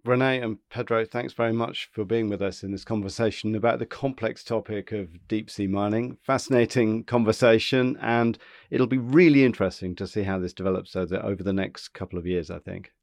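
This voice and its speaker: A man's voice: fairly low pitch, fairly quick, darkish tone, dry recording. A male science reporter hosting and moderating a panel discussion in a conversational tone.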